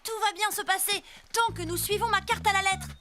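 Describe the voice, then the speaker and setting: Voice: very high-pitched, steady tone, brisk, pushed and bright, faint music behind. A performer playing a confident, cheerful little boy pirate leader in a cartoon, upbeat and commanding. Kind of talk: cartoon voice acting.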